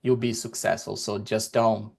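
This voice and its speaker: A man from Brazil, a native Portuguese speaker with a Brazilian accent, speaking as a podcast guest over a video call in a deliberate, encouraging way with many fillers. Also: on the low side, level tone, creaky, a little mellow, breathy edge. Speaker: guest, security executive. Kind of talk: podcast interview. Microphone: remote video call.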